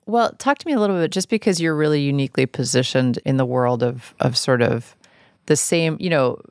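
A woman with a markedly creaky voice, recorded dry and close. She interviews in a chatty, conversational way, rewording her questions as she goes. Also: very deep voice, expressive, brisk, darkish tone, no room sound. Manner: chatty, rephrasing questions aloud. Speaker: podcast host, interviewer.

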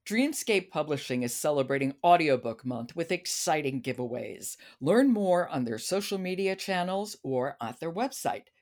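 Low-pitched woman hosting a podcast. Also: darkish tone. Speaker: podcast host. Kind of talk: conversational book review discussion.

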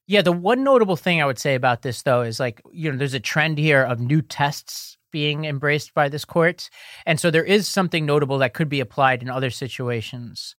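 A man's voice: a little high, lively intonation, fairly quick, a bit bright, no echo, studio quality. A man co-hosting a podcast, explaining court rulings in casual talk with many "like"s and restarts. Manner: explanatory, filler-heavy, restarts. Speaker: podcast co-host. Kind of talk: casual legal news discussion.

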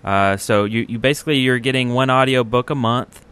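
A man's voice: medium pitch, fairly even intonation, a little fast, a bit bright, clear, resonant tone. A man hosting a podcast in a casual, easygoing and agreeable way. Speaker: podcast host.

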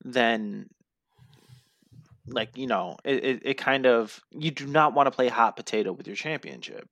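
A man co-hosting a podcast, chatting casually and ranting bluntly with coarse language. He says his own voice is high. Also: unhurried, dry recording. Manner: blunt, profane, animated ranting. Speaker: podcast co-host. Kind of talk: casual chat.

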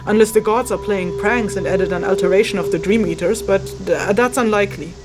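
An actress playing a blunt, aloof spirit scholar in a fantasy audio drama, with a matter-of-fact, dismissive, lecturing manner. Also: female voice, mid-range voice, brisk, loud music behind the voice, lightly acted.

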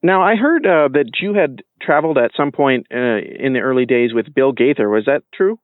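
A man's voice: medium pitch, normal speed, no echo. A man asking questions as an interviewer in a conversational style, on a narrow phone-like recording. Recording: thin phone-like narrow sound.

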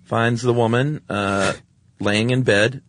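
A man's voice: medium pitch, fairly even intonation, takes it slowly, dry recording. A man co-hosting a podcast, retelling stories in casual chat with a droll, offhand manner. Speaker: podcast co-host.

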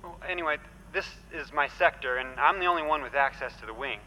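A man's voice: on the high side, fast talker, pushed and bright, rough recording. A man in an audio drama playing a nervous, hesitant, nerdy tech support worker. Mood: anxious, insistent. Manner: hesitant, stammering. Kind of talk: naturalistic audio drama acting. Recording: faint ambient noise.

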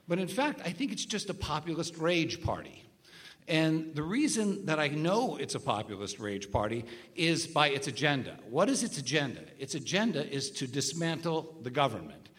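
Loud-voiced man in a reverberant hall. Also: on the high side. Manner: loud, carrying voice. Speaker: radio show guest and political commentator. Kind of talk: conversational interview before a live audience. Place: large room with a live audience. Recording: reverberant live stage hall.

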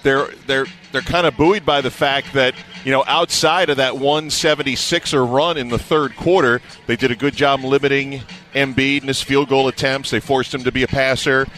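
A man's voice: mid-range voice, steady tone, normal speed, a bit bright. A man working as a play-by-play announcer, giving conversational analysis in a sports radio interview.

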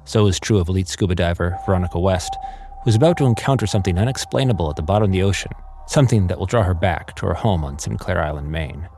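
Low-voiced man narrating a horror promo. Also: fast, noisy background. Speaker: promo announcer. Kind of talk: dramatic scripted horror trailer narration.

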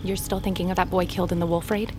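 A woman's voice: fairly low pitch, little pitch movement, fast, over music, close to an everyday voice. A woman playing a blunt, wry, hardened fugitive in a fantasy audio drama. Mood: wry, wary.

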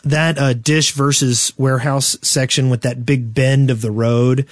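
A man's voice: medium pitch, flat delivery, unhurried, dry recording. A man co-hosting a gaming podcast, talking casually and enthusiastically. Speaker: podcast co-host.

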